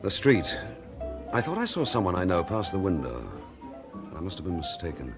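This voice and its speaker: A man's voice: low voice, huge pitch swings, moderate pace, music in the background. An actor playing a troubled male detective in a radio drama, understated and wry. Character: worried male detective, dry and self-doubting. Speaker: police detective. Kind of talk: 1960s radio drama acting.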